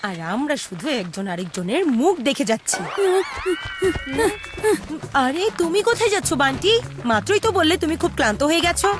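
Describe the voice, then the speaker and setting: A woman's voice: high-pitched, big pitch swings, fairly quick. A woman voicing an exasperated, sarcastic mother who scolds her family in a cartoon dub. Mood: surprised.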